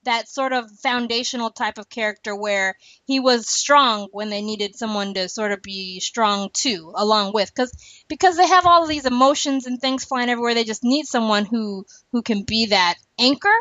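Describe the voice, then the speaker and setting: A woman's voice: fairly high pitch, normal speed, fairly bright tone, no room sound. A woman hosting a podcast in a casual, rambling chat, laughing often. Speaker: podcast host. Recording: dull lo-fi dry recording.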